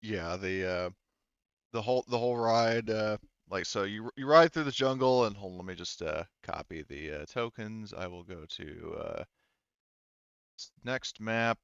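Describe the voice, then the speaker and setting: Clear-voiced, low-pitched man running a game. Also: a little slow, a bit bright, no echo, lo-fi sound. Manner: informal, swears casually. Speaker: game master. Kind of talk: casual tabletop game narration and rules talk.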